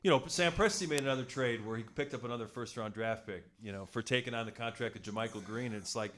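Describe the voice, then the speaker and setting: A man co-hosting a sports podcast who talks in a steady, measured way. He lays out his analysis calmly and then hands the floor over with questions. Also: medium pitch. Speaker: podcast co-host. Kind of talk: casual sports talk, posing questions.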